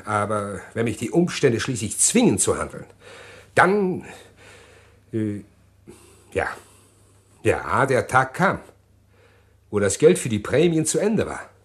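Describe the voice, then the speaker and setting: An actor playing an evasive, well-spoken gentleman criminal in a German radio crime play, speaking in a formal, hesitant, self-justifying manner. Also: medium pitch, big pitch swings, slow pace, long pauses. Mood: troubled, confessing.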